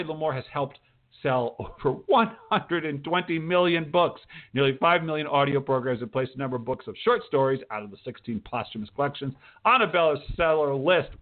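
An American man hosts a radio show with a lively, swinging delivery. He talks informally, dropping in emphatic asides and echoing his own phrases. The sound is narrow and tinny, like a phone line. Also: a little high. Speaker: radio show host and writing teacher. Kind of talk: chatty show introduction and interview.

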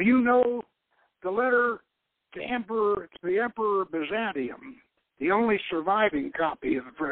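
A man reads out a gentlemanly, skeptical adventurer from an audiobook tale. The voice is high and emphatic, with a wry turn to it. The recording is dry and lo-fi. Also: no echo. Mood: musing, halting. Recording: dull, lo-fi radio sound.

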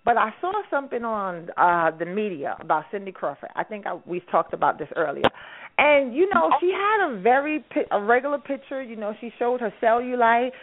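A woman's voice: a little high, expressive, fairly quick. A woman from Harlem hosting a call-in radio panel, chatty and laughing often. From Harlem, New York. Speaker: radio show host. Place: phone call. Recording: narrow phone-line sound.

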